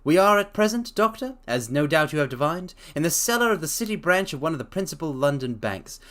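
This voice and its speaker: A commanding Victorian detective, crisp and severe, voiced by a man who pitches up well above his usual register. He speaks with clipped authority, quick to give orders. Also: big pitch swings, a bit bright. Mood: stern.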